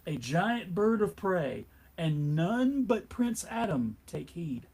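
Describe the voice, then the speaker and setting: A man with a husky voice narrating a comic book table read theatrically, with tongue-in-cheek melodrama. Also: sits high, very animated, slow pace, a little mellow.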